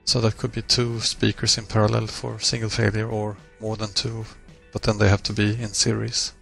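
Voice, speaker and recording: Gravelly low-voiced man explaining software. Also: completely flat delivery, moderate pace, faint music behind. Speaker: software tutorial presenter. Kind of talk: screencast software walkthrough, explanatory.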